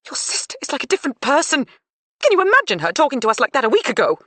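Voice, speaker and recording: A woman voicing a scornful, bitter middle-aged mother, shrill and accusing. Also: huge pitch swings, rapid-fire, a bit bright, no room sound, exaggerated voice. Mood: aggrieved.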